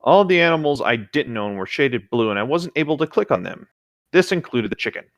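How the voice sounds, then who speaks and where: A man's voice: mid-range voice, animated, a little fast, no echo, dull audio. A man co-hosting a casual read-along of internet horror stories, with light joking comments between lines. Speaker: co-host reading internet horror stories aloud.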